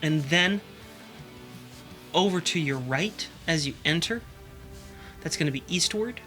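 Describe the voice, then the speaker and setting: Man game master, bright and a bit high, sniffly, unhurried scene-setting over faint music. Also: animated. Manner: descriptive, halting with fillers. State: sniffly from allergies. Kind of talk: narrating a tabletop game. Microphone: desk microphone.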